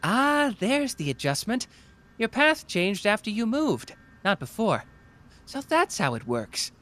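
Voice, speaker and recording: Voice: medium pitch, sing-song, measured pace, a bit bright. A performer playing a cocky, playful young male genius detective in an anime roleplay, taunting and analytical in a theatrical way. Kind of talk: anime roleplay audio drama, deduction monologue.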